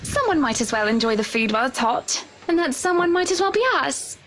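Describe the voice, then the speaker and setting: High-voiced, gracious mansion lady. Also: expressive, a little fast, fairly bright tone, a little hiss or hum. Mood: inviting. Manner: genteel, fussy, friendly. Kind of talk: visual novel voice acting.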